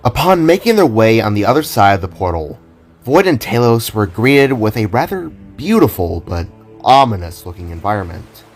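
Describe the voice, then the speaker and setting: A man reading scripted fantasy narration for an audio drama in a measured, descriptive storybook way. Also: fairly low pitch, very animated. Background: soft background music.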